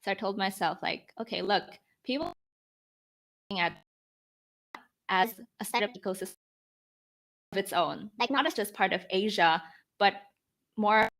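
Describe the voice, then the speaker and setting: A young woman, around twenty and from the Philippines, speaks as a founder being interviewed on a podcast. Her voice is bright and forward at a medium pitch. She explains things conversationally, working through examples and rhetorical questions. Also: normal speed, creaky, long pauses, low-quality recording.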